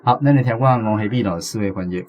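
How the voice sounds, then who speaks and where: A man's voice: on the low side, fast talker, crowd noise. A man with a Taiwanese accent hosting a language quiz in a conversational way, moderating between contestants and teachers. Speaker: host of a radio language quiz segment.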